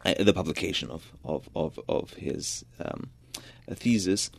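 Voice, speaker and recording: A man's voice: deep voice, measured pace, vocal fry. A young man from Georgia whose first language is Georgian, answering interview questions thoughtfully and often restarting his sentences. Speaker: interviewed pianist and composer. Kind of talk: radio interview.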